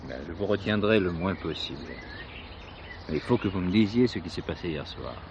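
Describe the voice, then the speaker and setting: Over a noisy old recording with birdsong, a persistent male police commissioner. His questions are courteous yet insistent, with lively swings in intonation, in the style of 1970s radio drama. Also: low-pitched, a little fast, gravelly, dull audio. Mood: probing.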